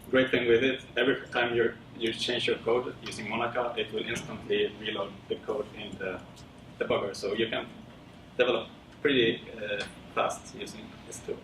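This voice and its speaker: A man from Sweden presenting casually at a tech meetup, with many fillers and a relaxed, rambling delivery. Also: medium pitch. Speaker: conference speaker. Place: lecture room. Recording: roomy, distant with audible background noise.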